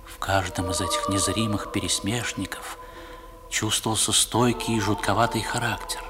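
A man's voice: on the low side, steady tone, moderate pace, over loud music, lightly acted. A man narrating a 1960s radio play as a grown storyteller recalling boyhood, in a dramatic literary manner, on an old recording. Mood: reflective.

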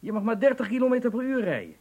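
An actor in a radio play playing a jovial, chatty travelling businessman with a husky voice and a conversational manner. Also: extremely high pitch, big pitch swings, rapid-fire, a little mellow, heavily acted. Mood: jovial, proud. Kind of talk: radio drama dialogue.

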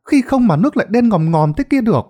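A man's voice: high-pitched, very animated, quick pace, warm tone, voice pushed up. A man voicing a male villager in the crowd who eagerly chimes in. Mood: agreeing. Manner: eager, nodding-along agreement.

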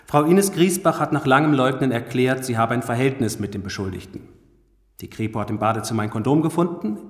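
An actor in a radio crime drama playing a formal, insistent and pointed public prosecutor. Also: male voice, medium pitch, lightly acted. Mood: formal, assured. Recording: echoey hall with long reverb.

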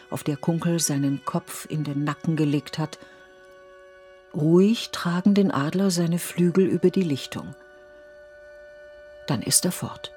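Narrator for literary radio drama: measured, poetic, mid-range voice over soft music. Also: mid-range voice.

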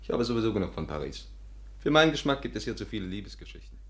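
Deep, fast, sardonic man. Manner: deadpan, sardonic. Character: dry, cynical, impatient male companion. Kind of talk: 1950s German radio play acting.

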